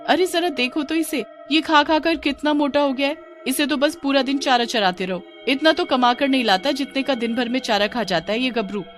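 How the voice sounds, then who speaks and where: A woman's voice: high-pitched, normal speed, few pauses, soft background music, pitched above the performer's own voice, close to an everyday voice. A woman voicing a nagging, dismissive village wife in a bedtime story, complaining and scolding.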